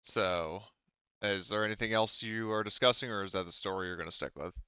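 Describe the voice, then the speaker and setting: A man with a smooth, clear voice runs a tabletop game. He explains the scene casually and restarts phrases as he goes. The recording is dry and slightly muffled. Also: on the low side, steady tone, fairly quick, sharp tone, no echo. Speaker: game master.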